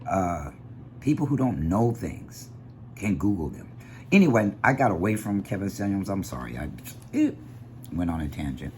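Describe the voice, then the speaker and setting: A man with a deep bass voice giving an animated, opinionated vlog monologue that drifts off on tangents. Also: moderate pace, slight background noise. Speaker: vlogger.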